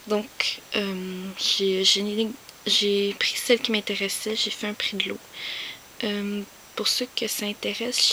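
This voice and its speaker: A woman with a Québécois French accent, whispering softly as she shows off her collection, with many hesitant fillers. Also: mid-range voice, a little hiss or hum, low-quality recording. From Quebec. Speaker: collector showing finds. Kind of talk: soft whispered show-and-tell.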